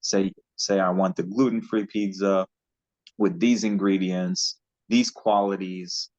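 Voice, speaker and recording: A man's voice: low voice, fairly even intonation, takes it slowly, darkish tone. A man, a relationship coach, giving spiritual advice in an earnest, loose and rambling way over a video call. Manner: earnest, loose and rambling, laughs at own slips. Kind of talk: casual spiritual advice talk. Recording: dull lo-fi audio.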